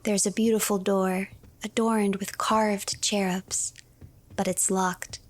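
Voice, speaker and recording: A woman narrating a story game in a hushed, breathy storytelling manner, as if reading a tale aloud. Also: mid-range voice, little pitch movement, takes it slowly.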